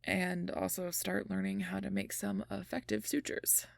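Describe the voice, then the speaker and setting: A performer playing a sarcastic, protective young supernatural hunter in an intimate audio role-play, with casual, wry and often breathy banter. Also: medium pitch, little pitch movement, normal speed, no room sound. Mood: wry, reassuring.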